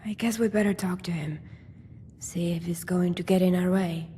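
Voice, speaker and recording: A woman's voice: lowish voice, fairly even intonation, moderate pace, warm tone, a little hiss or hum, close to an everyday voice. A woman playing a practical, guarded adventuring companion in a video game, speaking casually and matter-of-factly. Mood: wary. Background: music.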